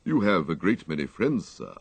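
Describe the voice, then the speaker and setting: A man's voice: sits low, sing-song, moderate pace, creaky, breathy edge, no room sound, heavily acted. A man playing a deferential, unruffled English valet who speaks formally and tactfully in an audiobook story.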